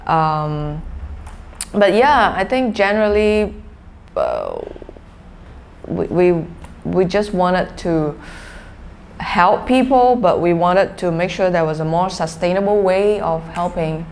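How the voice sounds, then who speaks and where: A woman in her forties with a Malaysian accent and a fairly low voice. She explains things conversationally, feeling her way through her answers. There is some background noise around her. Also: big pitch swings, slow, pauses often, darkish tone. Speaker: interview guest, social enterprise founder. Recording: clearly noisy background ambience.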